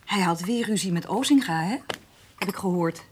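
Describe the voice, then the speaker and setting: An actress playing a curious, persistent woman who gently pries, in a Dutch radio play. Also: lowish voice, normal speed, noisy background, natural voice. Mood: prying.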